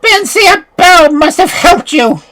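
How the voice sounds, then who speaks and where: A cruel, jealous old witch from a storybook, put on by a woman reader. She shrieks her threats high and breathy, her pitch leaping all over. Every line comes out menacing and shrill. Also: very animated, measured pace, fairly bright tone, exaggerated voice. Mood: furious.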